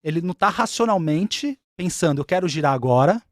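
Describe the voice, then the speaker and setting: A man with a somewhat high-pitched voice explains things patiently, circling back to repeat his points. The sound is dry and close, with no room around it. He talks in an easy interview manner. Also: lively intonation, fairly quick, no room sound. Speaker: umbanda spiritual leader and guest.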